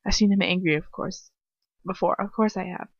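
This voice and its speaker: A woman's voice: fairly low pitch, a little fast, no echo. Woman reading fiction, dull lo-fi recording. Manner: informal, stumbles and breaks off into asides. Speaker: amateur fan fiction reader. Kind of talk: first-person story narration, read aloud.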